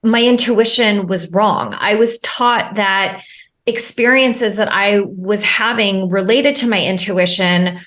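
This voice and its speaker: A woman coach speaking as a podcast guest, in a middle-pitched voice. She explains with lots of "like" and "right?", doubling her words as she goes. Her tone is lively and conversational. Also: takes it slowly. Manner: animated explaining with fillers and repeated words. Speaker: intuitive life and business coach, guest. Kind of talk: podcast interview.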